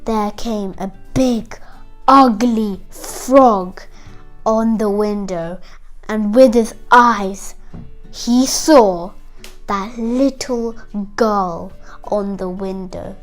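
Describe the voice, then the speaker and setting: A woman's voice: medium pitch, drawn-out words, soft background music. A young girl hosting a kids' show, retelling a fairytale to children in a chatty, singsong, dramatic way. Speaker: storytelling host of a kids' show.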